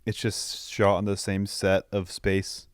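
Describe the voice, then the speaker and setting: A man's voice: fairly low pitch, completely flat delivery, slow, clean tone, no echo, clean studio sound. A young man co-hosting a podcast, chatting casually about films with slang and swearing. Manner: slangy, profane. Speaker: podcast co-host. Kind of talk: casual film review chat.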